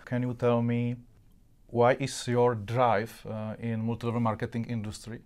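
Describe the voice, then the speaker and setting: Male interview host, non-native English, halting delivery, narrow and monotone pitch movement. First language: not English. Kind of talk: interview with greetings to an audience.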